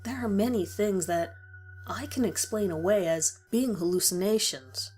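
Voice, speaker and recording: A woman's voice: mid-range voice, moderate pace, darkish tone. A woman reading a first-person horror story as a dramatic scripted narration. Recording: music behind the voice. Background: music.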